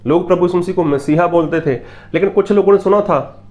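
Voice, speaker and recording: Guy preaching, slightly high voice, keeps repeating his point, asks the crowd questions. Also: a little fast, a little mellow, highs cut off. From Punjab, India. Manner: repetitive emphatic teaching, rhetorical questions. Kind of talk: Hindi sermon with Bible readings.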